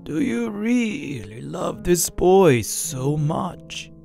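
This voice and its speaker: A man playing the loving ghost of an old father in a storybook reading, speaking softly and slowly. The tone is tender and hushed, with faint music behind. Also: fairly high pitch, big pitch swings, gravelly, pitched above the performer's own voice, heavily acted. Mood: consoling. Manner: soft, tender, unhurried.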